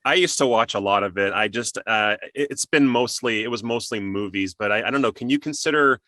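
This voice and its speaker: A man's voice: fairly low pitch, brisk, pushed and bright, no echo, studio quality. A middle-aged man hosting an interview, asking questions in a casual, conversational way. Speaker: interview host.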